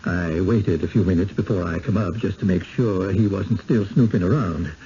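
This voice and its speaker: An actor playing a wary, folksy village rustic with a rural American accent and plain-spoken drawl, in 1970s radio drama style. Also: male voice, sits low, normal speed, warm tone. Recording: dull, hissy old radio broadcast.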